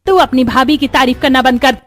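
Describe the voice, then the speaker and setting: A woman's voice: high voice, fairly even intonation, brisk, fairly bright tone. A woman voicing a stern, middle-aged mother-in-law in a cartoon moral story, emphatic and scolding.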